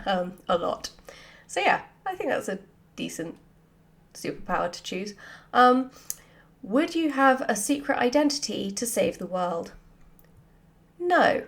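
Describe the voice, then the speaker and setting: A woman with a medium-pitched voice talks casually as she hosts a Q&A. Her delivery is animated and giggly. She has an informal, self-deprecating way of answering. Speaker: writer hosting a follower Q&A. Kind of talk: casual chat to camera, answering questions.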